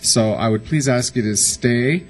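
A man hosting an event, making a polite, measured announcement to an auditorium audience. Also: medium pitch, faint music behind. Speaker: event host.

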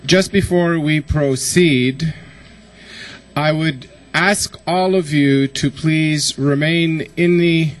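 A man hosting an event, making a polite, measured announcement to an auditorium audience. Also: mid-range voice. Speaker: event host.

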